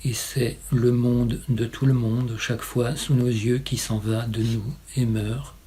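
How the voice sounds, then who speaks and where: A man reciting poetry in a solemn style, with measured, incantatory phrasing and short pauses. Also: medium pitch, little pitch movement, warm tone. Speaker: poet reading own poem.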